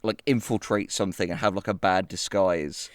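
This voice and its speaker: A man's voice: low voice, steady tone, moderate pace, clear, resonant tone, no room sound. Casual joking man co-hosting, close dry sound. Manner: hyperbolic, profane joking. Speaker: podcast co-host. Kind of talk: casual comedic chat with mocking read-alouds.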